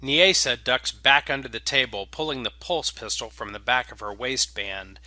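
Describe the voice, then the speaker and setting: A man reading scripted space opera fiction as a dramatic, deliberate narrator. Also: mid-range voice, bright, forceful tone, no echo.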